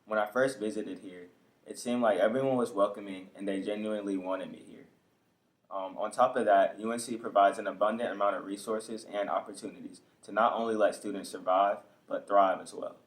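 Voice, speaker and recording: A young man, a college student, answering calmly and sincerely. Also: on the low side, brisk, poor audio. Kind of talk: interview answer. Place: indoor room before a campus backdrop.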